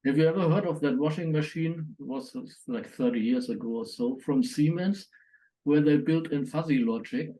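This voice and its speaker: A man telling a story in a casual group call, pausing often to search for words. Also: on the high side, warm tone, rough recording. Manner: slow, searching for words with many uh pauses. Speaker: meetup participant.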